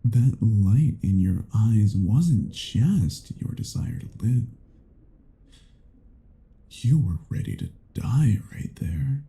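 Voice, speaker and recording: A man playing a cruel, haughty vampire in a dramatic roleplay, speaking in a deliberate, menacing and condescending way. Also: on the low side, expressive, mellow. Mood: intrigued, probing. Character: cruel, haughty male vampire toying with his captive. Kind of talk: dramatic roleplay audio acting.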